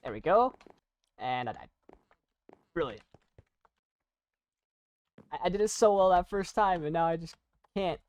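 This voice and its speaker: A young adult chatting casually while playing and commentating on a video game, rambling in a halting, self-deprecating way. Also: medium pitch, big pitch swings. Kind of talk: casual gaming commentary and chat.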